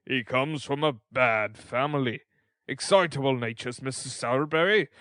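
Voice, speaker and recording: A man's voice: mid-range voice, normal speed, fairly bright tone, no echo, studio quality, lightly acted. A man voicing a pompous middle-aged parish beadle who lectures sternly. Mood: stern lecturing. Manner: stern and self-important.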